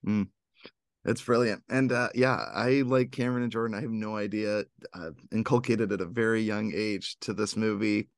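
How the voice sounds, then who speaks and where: A man's voice: lowish voice, fairly even intonation, moderate pace, creaky, no echo, clean studio sound. A young man hosting a podcast in a casual, joking, informal conversational style. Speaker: podcast host. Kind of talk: casual chat.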